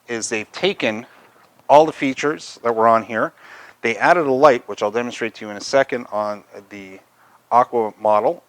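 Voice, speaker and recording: A man's voice: mid-range voice, a bit bright, dry recording. A man presenting a product review, talking through features in an unscripted, conversational, explanatory way.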